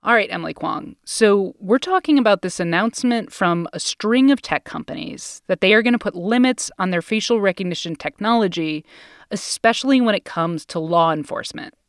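A woman's voice: mid-range voice, expressive, brisk, no echo, studio quality. An American woman co-hosting a podcast in a casual, informal and playful conversational style. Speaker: podcast co-host.

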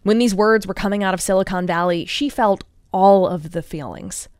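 A woman's voice: mid-range voice, normal speed, darkish tone, smooth, no room sound. Dry, close-sounding American woman podcast host. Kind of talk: conversational science podcast narration.

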